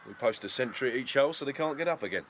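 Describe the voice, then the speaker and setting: A man's voice: mid-range voice, lively intonation, very fast, fairly bright tone, slight background noise, lightly acted. An actor playing an authoritative, matter-of-fact male rabbit captain in a radio drama. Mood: brisk, assured. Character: brisk male rabbit captain of regimented warren.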